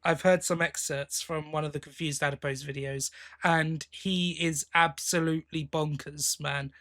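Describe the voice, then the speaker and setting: A man's voice: a little high, steady tone, moderate pace, fairly bright tone. A man with a British accent co-hosting a podcast, chatting casually in a blokey, teasing way. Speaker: podcast co-host. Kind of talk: casual chat, fan review.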